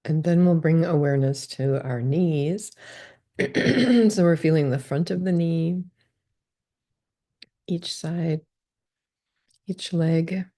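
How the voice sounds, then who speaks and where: A woman guides a body scan meditation in calm, gentle steps. Her voice sits very low, with a gravelly texture and a mellow, dark tone. She speaks slowly and unhurriedly. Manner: calm, gentle step-by-step instructions.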